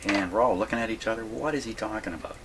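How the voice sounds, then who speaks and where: Low-voiced older man, thinking aloud, noisy recording. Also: fast. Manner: rambling, self-deprecating, folksy. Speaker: vintage radio repair hobbyist presenting a video. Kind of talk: casual workshop demonstration, thinking aloud. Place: indoor radio repair workshop.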